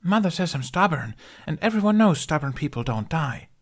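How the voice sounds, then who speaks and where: Man putting on an eager little girl, high-pitched. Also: very animated, fairly quick. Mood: stubborn. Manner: eager, childlike. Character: curious, stubborn eight-year-old girl, eager grandchild. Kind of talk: audiobook character voice.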